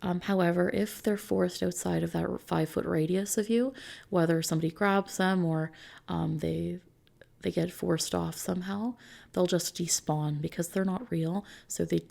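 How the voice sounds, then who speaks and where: A soft-spoken woman in an ASMR roleplay, gently and reassuringly explaining things like a service consultant, with breathy edges that drift toward a whisper. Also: on the low side. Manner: gentle, reassuring, checking in with the listener.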